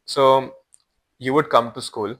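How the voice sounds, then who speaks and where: A man's voice: mid-range voice, steady tone, slow, fairly bright tone. A man in his early twenties with an Indian accent, chatting casually as a podcast guest in informal, slangy speech. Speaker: podcast guest, former exchange student.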